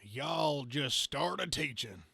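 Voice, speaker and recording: Man doing a slow-drawling Southern good ol' boy. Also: on the high side, animated, vocal fry, sharp tone, husky, dry recording, heavily acted. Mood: indignant, folksy. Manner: folksy, indignant drawl. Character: big angry country good ol' boy trucker. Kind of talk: dramatic comic-book reading.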